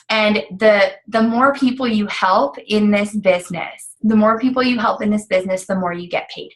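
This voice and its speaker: A woman's voice: medium pitch, fairly bright tone, rough recording. A woman talking casually and upbeat, explaining how a coaching business pays, with many fillers. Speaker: network marketing coach. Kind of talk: casual recruiting talk.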